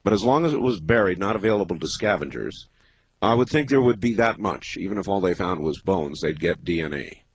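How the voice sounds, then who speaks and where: A man in his fifties hosting a late-night call-in radio show, talking in a measured, musing way with many pauses. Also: sits low, expressive. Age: 50s. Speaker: radio talk show host. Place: radio studio. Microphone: close studio microphone.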